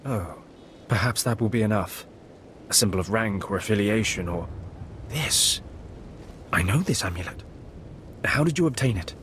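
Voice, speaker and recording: Lowish male voice, haughty Sith schemer, guarded, suspicious, deliberate, game dialogue, rumbling storm noise. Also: lively intonation, fairly bright tone. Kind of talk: video game voice acting.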